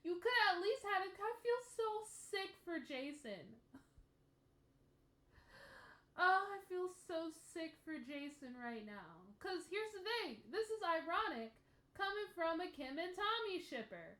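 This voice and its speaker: A woman's voice: very high voice, very animated, pushed and bright. A woman giving casual, emotive and exasperated commentary as host of a reaction series. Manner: emotive, exasperated, drawn-out delivery. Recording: echoey room.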